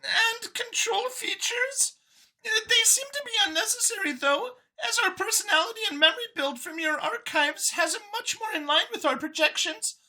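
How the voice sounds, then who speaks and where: A woman's voice: very high-pitched, normal speed, bright, forceful tone, pitched above the performer's own voice, exaggerated voice, low-quality recording. A woman voicing a nervous, earnest female alien mouse scientist in an audiobook, with a flustered, eager way of talking. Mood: flustered, defensive. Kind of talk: acted audiobook character.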